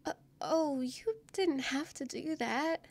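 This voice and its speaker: A woman acts out a gentle, anxious young winged woman from a fantasy audio drama. Her voice is high and soft. She speaks slowly, stammering and self-effacing. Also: expressive, gravelly, dry recording, studio quality, exaggerated voice. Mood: touched, bashful. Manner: hesitant, stammering, soft-spoken.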